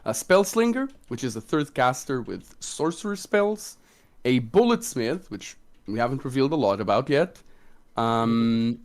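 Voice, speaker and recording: A man's voice: medium pitch, lively intonation, a little slow. A man who designs tabletop games, chatting casually as co-host of a question-and-answer livestream.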